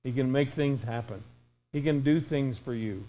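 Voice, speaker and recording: A man's voice: mid-range voice, normal speed, warm tone, faint music behind, low-quality recording. A man in his sixties preaching an informal, conversational sermon in a folksy way, with emphatic repetition and imagined dialogue. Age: 60s.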